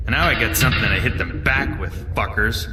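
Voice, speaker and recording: An actor playing a brash young male hacker in a video game, talking fast in crude, streetwise slang. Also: mid-range voice, close to an everyday voice. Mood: excitable. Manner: profane, streetwise slang, excitable. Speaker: information dealer. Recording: echoey reverberant, noisy.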